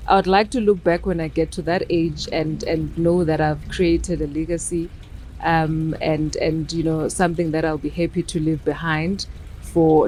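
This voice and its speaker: A woman in her thirties with a lowish voice, speaking in a measured, thoughtful way as an interview guest. A faint hum runs underneath. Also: warm tone, low-quality recording. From South Africa. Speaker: guest, chartered accountant. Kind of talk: casual interview chat.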